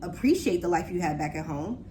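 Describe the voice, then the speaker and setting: A woman's voice: on the low side, animated, fairly quick, mellow, a little hiss or hum. A woman in her late forties, chatting casually and easily on a trip with her sister, often laughing. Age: 40s. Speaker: guest, vlogger's sister. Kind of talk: casual chat.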